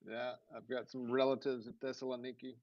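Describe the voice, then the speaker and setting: A man in an online audio chat, making quick joking asides. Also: mid-range voice, flat delivery, no echo, lo-fi sound.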